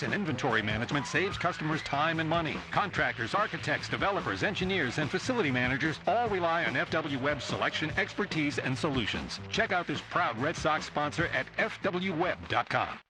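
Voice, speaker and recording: A man's voice: fairly high pitch, noisy background. A man reading a scripted radio commercial as an announcer. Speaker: advert announcer.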